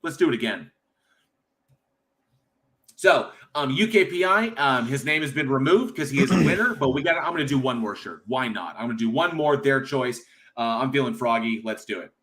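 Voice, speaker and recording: Mann, Livestream-Host, lockerer Plauderton, viele Füllwörter, mittlere Stimmlage. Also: male voice, mid-range voice, brisk. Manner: relaxed, rambling, many fillers, reads chat aloud. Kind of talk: casual livestream chat.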